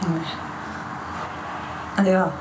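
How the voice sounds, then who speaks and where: An actress plays a hesitant, sincere woman with a Flemish accent, groping for words as if interviewed. The room is echoey, with clear ambient noise. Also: close to an everyday voice. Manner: halting, searching for words. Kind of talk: naturalistic interview answers.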